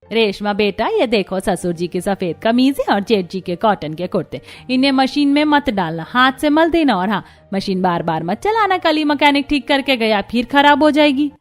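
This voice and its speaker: A middle-aged mother-in-law in a cartoon drama. She is sweetly bossy and thrifty, nagging in a fairly high voice over light music. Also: big pitch swings, moderate pace. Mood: instructing. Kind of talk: Hindi cartoon story dialogue.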